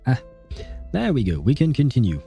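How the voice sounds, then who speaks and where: Dark, mellow-voiced man rambling on stream. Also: mid-range voice, wildly swooping pitch, moderate pace, gravelly, soft background music. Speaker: game streamer. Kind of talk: casual livestream commentary.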